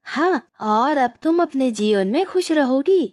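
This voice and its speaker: A woman's voice: sits high, lively intonation, a little slow, darkish tone, dry recording, clean studio sound. A woman voicing a caring, helpful male crow in a cartoon moral story. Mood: pleased. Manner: earnest, helpful.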